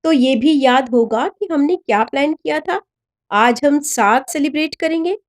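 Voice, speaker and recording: Woman voicing a petulant teenage boy, high-pitched. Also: moderate pace, lightly acted. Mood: cocky, expectant. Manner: preening, sulky. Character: cocky, petulant teenage boyfriend.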